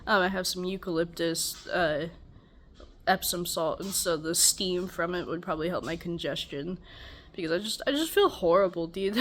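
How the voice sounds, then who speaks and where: A woman's voice: lowish voice, fairly bright tone. A young woman with a stuffy, congested voice, rambling wearily through a casual check-in at home. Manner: rambling, weary, self-deprecating. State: tired. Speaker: vlogger. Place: home, beside a loud air conditioner.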